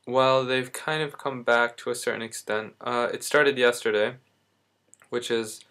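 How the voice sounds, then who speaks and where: A man's voice: medium pitch, steady tone, a little slow, a bit bright. A young man talking casually in a video diary, rambling and correcting himself, speaking while in back pain. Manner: rambling, self-correcting, lots of fillers. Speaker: vlogger.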